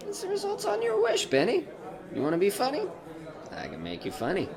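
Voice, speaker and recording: Slick, folksy contract peddler for the devil male actor, slyly cheerful horror-drama wheedler, wildly swooping pitch, murmuring crowd behind. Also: huge pitch swings, fairly quick, vocal fry, full character voice. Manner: wheedling, colloquial patter with tacked-on questions. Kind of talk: retro horror audio drama acting.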